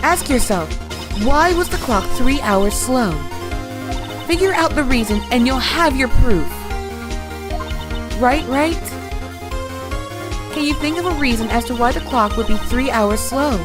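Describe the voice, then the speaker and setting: A woman's voice: high voice, expressive, unhurried, long pauses, over music. A woman playing a confident senior lawyer, firm and encouraging, in a video game dub. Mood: coaching. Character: confident female senior lawyer mentoring her protege. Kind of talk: video game fandub acting.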